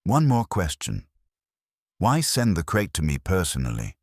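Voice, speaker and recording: Wry male gumshoe, done by a narrator for a detective audiobook: clipped, deadpan, extremely low, husky. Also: a little slow, no echo, clean studio sound, full character voice. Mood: deadpan, probing.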